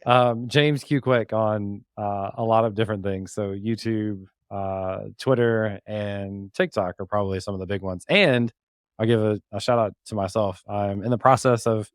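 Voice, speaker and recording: Man, slightly low pitch, casual guest chat, teasing, dry sound. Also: fairly even intonation, moderate pace, no room sound. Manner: joking, teasing. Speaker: podcaster and developer guest.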